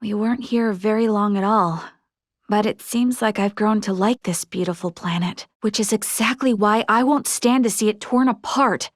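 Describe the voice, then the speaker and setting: A woman's voice: medium pitch, moderate pace, no room sound, studio quality, close to an everyday voice. A woman playing an earnest young female military officer in a space video game, speaking formally and articulately with resolve. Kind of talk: scripted video game character dialogue.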